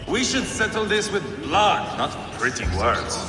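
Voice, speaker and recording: Hot-tempered Viking warrior, menacing, fairly high voice, long hall reverb, game drama. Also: male voice, sing-song, a little slow, music in the background. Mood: impatient, bloodthirsty. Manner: sneering, foul-mouthed, menacing. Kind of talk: dramatic video game acting.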